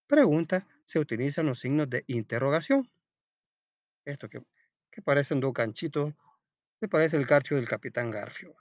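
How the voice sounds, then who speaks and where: Male primary-school teacher, very lively intonation, didactic question-and-answer delivery, recorded dry and slightly dull. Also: fairly high pitch, no room sound. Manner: didactic, asks questions and answers them himself. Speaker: teacher presenting a school textbook lesson. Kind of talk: read-aloud storytelling and step-by-step lesson narration.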